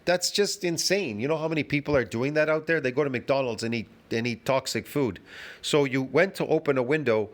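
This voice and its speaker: A man's voice: medium pitch, a little fast. A man giving a ranting fitness commentary, emphatic and repetitive, full of restarts and rhetorical questions.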